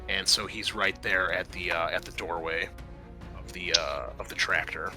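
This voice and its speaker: A man's voice: sits low, steady tone, moderate pace, sharp tone, soft background music. A man narrating a tabletop horror roleplaying game as game master, casual and prone to swearing.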